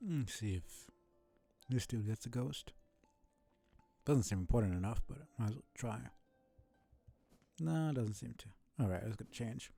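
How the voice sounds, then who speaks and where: A man doing casual, low-key live commentary as he plays a video game, muttering to himself. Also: unhurried, vocal fry, long pauses, mellow, breathy edge, soft background music.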